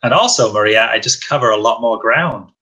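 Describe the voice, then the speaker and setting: Man, fifties, upbeat and chatty, bright crisp voice. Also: mid-range voice, a little fast. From northwest Wales. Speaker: guest, endurance cyclist. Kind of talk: casual interview chat.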